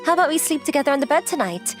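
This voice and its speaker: Casting: a woman plays a girlish young manga-dub heroine, high-pitched and lively, relaxed, affectionate and playfully teasing. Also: rapid-fire, soft background music. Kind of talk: acted anime-style dialogue.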